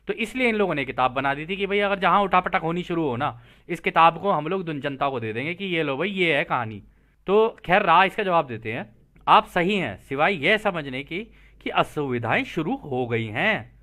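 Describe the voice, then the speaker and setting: A man's voice: high voice, bright, forceful tone. A man reading out and explaining a text in an informal, conversational way, often repeating phrases for emphasis. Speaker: host reading and explaining a translated book. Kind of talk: informal spoken commentary on read-out passages.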